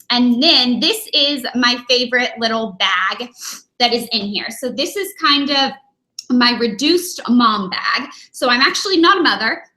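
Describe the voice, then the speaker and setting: A young woman talks casually on a live stream from her dining room, her voice bright and slightly high. She rambles with plenty of fillers and sounds a little sniffly from a cold. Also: poor audio. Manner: chatty, rambling, many fillers. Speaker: beauty vlogger.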